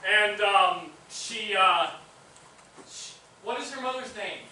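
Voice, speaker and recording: A man acts out a fumbling, clueless narrator in a stage comedy, hesitant and very high in pitch. The voice is piercingly bright, in a heavily echoed recording. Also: slow pace, far from the mic, heavily acted. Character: clueless, fumbling male stand-in narrator reading exposition. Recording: echoey stage recording from afar, faint noise.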